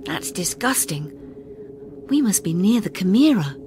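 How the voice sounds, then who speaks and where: Woman playing a bold, lively storybook hero prince. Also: fairly low pitch, unhurried, slight background noise. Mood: disgusted. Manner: exclamatory, dramatic. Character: bold young hero prince growing boastful. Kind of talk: children's storybook read-aloud.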